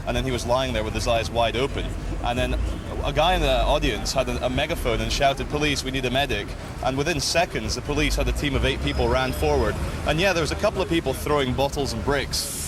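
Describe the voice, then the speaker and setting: A man's voice: medium pitch, brisk. A man giving an eyewitness account in a news interview outdoors in a city. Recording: noisy outdoor city street, traffic.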